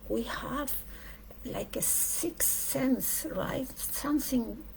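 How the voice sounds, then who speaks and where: Female speaker, for a reflective spiritual talk; unhurried delivery in short weighted phrases, gravelly creak in the voice. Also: sits high, darkish tone, slight background noise, low-quality recording. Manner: deliberate, emphatic phrasing in short pieces with pauses.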